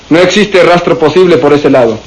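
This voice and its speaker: Radio drama police subordinate, male actor: slightly high voice, respectful, earnest, plain-spoken reporting. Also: normal speed, lightly acted, poor audio. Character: dutiful male police assistant reporting to his inspector.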